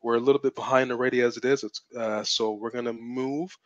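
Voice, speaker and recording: A man's voice: medium pitch, fairly even intonation, brisk, dry recording, lo-fi sound. A man hosting a live webinar as a business mentor, talking bluntly and assertively, repeating points for emphasis, his voice strained and cracking. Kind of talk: live-streamed webinar teaching.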